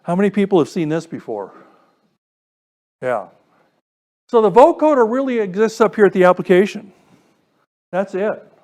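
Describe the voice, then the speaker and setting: A man's voice: high voice, very animated, moderate pace, long pauses, darkish tone. A man presenting an informal technical lecture, explaining step by step and putting questions to his listeners. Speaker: presenter giving a technical talk to a radio club.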